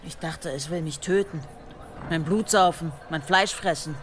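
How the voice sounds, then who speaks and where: A woman's voice: deep voice, normal speed, a little hiss or hum, lightly acted. An actress playing a fierce, defiant young warrior woman in an audio drama, blunt and demanding. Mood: defiant, appalled.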